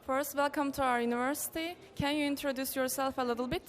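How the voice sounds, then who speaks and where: A woman's voice: sits high, steady tone, fast. A young woman interviewing guests with polite, formal questions in slightly non-native phrasing. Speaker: student interviewer.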